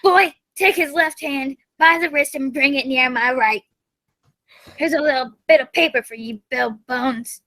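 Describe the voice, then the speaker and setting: A woman's voice: high-pitched, unhurried, pushed and bright. A woman playing a menacing blind old male beggar pirate in a radio play, wheedling or sharply commanding. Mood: cold, commanding.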